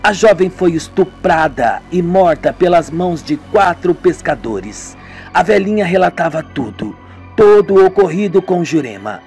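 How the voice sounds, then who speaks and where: A man reading the part of a young man who recounts a crime gravely and passes stern judgment on it. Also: high-pitched, lively intonation, measured pace, darkish tone, faint music behind. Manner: grave, judging.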